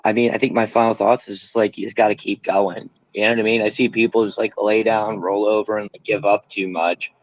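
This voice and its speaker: A man's voice: on the low side, flat delivery, brisk, few pauses, no room sound, lo-fi sound. A young man in recovery, talking candidly and casually as an interview guest, rambling with lots of fillers and swearing freely. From Pennsylvania.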